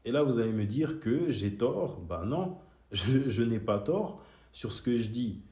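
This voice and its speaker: A man's voice: medium pitch, expressive, a little slow, mellow. A young man who grew up in Switzerland, speaking straight to his critics in a heated, argumentative monologue. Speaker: video creator. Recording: echoey room.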